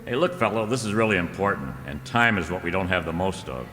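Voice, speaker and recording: A man's voice: low-pitched, animated, fast, pushed and bright. A man playing a dogged, wisecracking private investigator in an old-time radio drama, with clipped, slangy delivery. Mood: pressing, impatient. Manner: hard-boiled, slangy, clipped. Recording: reverberant hall, stage-like sound.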